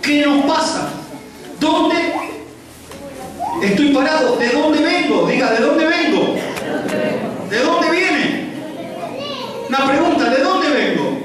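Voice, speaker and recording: Brief: male preacher, fiery, repetitive, high-pitched, recorded from afar in a crowded hall. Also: animated, slow, pauses often. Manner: rhetorical questions, shouting and repetition. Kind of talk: fiery sermon. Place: large church hall with congregation. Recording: echoey hall with distant mic and crowd.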